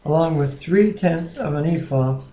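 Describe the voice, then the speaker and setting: Man, slightly high voice, earnest devotional co-host, plain-spoken, reverent, very echoey room, webcam mic. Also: moderate pace, warm tone. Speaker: co-host reading the Bible aloud. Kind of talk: casual devotional talk, prayer and scripture reading. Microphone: webcam microphone at a distance.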